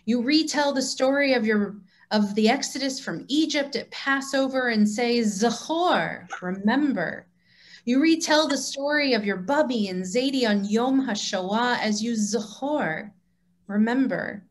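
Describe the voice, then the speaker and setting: A woman acting as an assured, wise mentor with a fairly high voice. She teaches with deliberate emphasis in a staged dialogue read over a video call. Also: natural voice. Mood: earnest, teaching.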